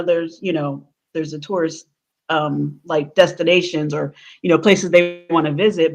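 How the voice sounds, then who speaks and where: Woman host, casual livestream chat, laughs often, muffled audio. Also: medium pitch. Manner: chatty, laughs often, restarts a lot.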